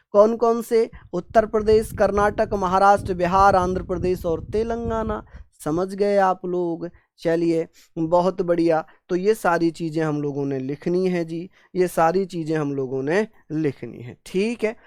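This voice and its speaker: A woman's voice: medium pitch, darkish tone, dry recording, studio quality. A woman teaching an exam coaching class. She repeats key terms, asks the students questions and answers for them, and mixes in English terms. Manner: acts out students' answers in call and response. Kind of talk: online classroom lecture.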